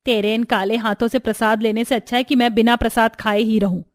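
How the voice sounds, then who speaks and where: A woman's voice: on the high side, level tone, fairly quick, no echo, natural voice. Woman acting as older mother-in-law, harsh, scornful, taunting, storybook cartoon drama, dry sound. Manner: scolding, cutting.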